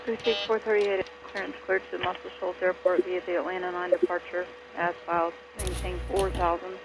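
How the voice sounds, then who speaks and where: A man working as an air traffic controller, giving procedural clearances over the radio. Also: sits high, little pitch movement, a little fast. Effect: aviation radio. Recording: noisy aviation radio transmission.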